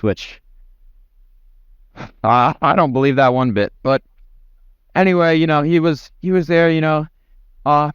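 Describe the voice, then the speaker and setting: A man's voice: mid-range voice, clean tone, no echo, dull audio. A man giving casual interview answers in a blunt, offhand way, swearing freely. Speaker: interview guest, former commander in an online roleplay community.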